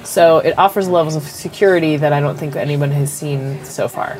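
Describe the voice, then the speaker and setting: A woman's voice: low-pitched, a little fast, darkish tone, poor audio. A woman with a cold and a stuffed-up voice, a company executive answering interview questions and explaining technology through everyday comparisons, with frequent ums.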